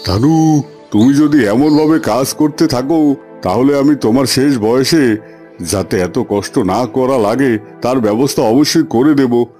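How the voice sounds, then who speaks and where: A man voicing a kindly, fair landowner and employer with measured authority in a fairy-tale cartoon. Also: medium pitch, a little mellow, lightly acted. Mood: approving, generous. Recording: music under the voice.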